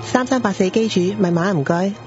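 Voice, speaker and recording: A woman's voice: medium pitch, fairly quick, lightly acted. A woman with a Hong Kong accent playing a polite night-shift pager operator and storyteller in a radio drama. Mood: polite, businesslike. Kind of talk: scripted radio drama narration. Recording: dull lo-fi audio under music.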